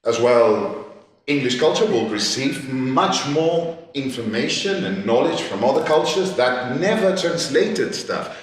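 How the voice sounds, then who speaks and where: A man's voice: medium pitch, animated. Man, echoey room. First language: Catalan. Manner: halting, many restarts and repeated words. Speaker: panellist. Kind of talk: panel discussion. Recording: echoey gallery room, distant mic.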